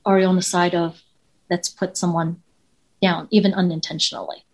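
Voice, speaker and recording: A woman's voice: fairly low pitch, little pitch movement, fairly quick. A woman with a Taiwanese accent, a professor speaking as a guest, earnest and reflective, building her points with rhetorical questions. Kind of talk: reflective interview answers.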